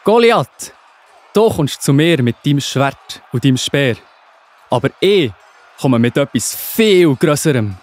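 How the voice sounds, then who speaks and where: A man's voice: mid-range voice, very animated, a little slow. A man with a Swiss German accent playing a brave, faithful young shepherd, earnest and declamatory, in a children's audio drama. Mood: bold, confident.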